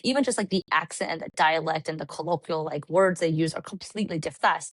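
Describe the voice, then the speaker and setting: A woman from California, a podcast guest, telling travel stories in an animated, casual way with lots of "like". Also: low voice, fairly quick, no echo. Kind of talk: casual chat. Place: Puerto Rico.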